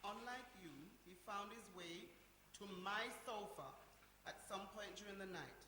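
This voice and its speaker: Actress as worried bossy sister, exasperated, insistent, radio play, medium pitch, reverberant hall. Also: female voice, moderate pace, pushed and bright, lightly acted. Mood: brisk, bossy. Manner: insistent, exasperated, repeats herself. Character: worried, bossy grown-up sister organising her brothers. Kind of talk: live-performed radio play. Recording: echoey hall, faint noise.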